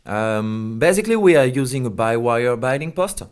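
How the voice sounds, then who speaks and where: A man's voice: mid-range voice, lively intonation, normal speed, smooth. Man explaining a product. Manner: hesitant non-native English phrasing. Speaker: company representative being interviewed.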